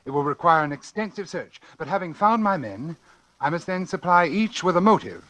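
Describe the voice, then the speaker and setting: An actor playing a coolly obsessive, confident doctor in a 1940s radio drama, speaking formally and deliberately with theatrical diction. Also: male voice, a little high, animated, bright, forceful tone. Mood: precise, confident. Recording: vintage 1940s radio recording with music.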